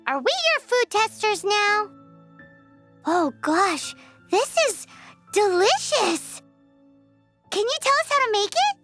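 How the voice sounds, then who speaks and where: A woman voicing a tiny, childlike fairy companion in a video game, squeaky, cheeky and excitable. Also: takes it slowly, long pauses, faint music behind, full character voice. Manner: talks about self in third person. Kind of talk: video game character acting.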